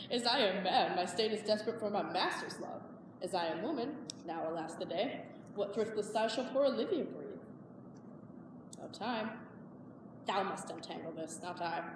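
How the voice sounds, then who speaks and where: A woman's voice: medium pitch, expressive, moderate pace, natural voice. A woman performing Shakespearean verse as a young woman disguised as a man, speaking in a wondering, lyrical way. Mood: bewildered. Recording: echoey hall from far off, background noise.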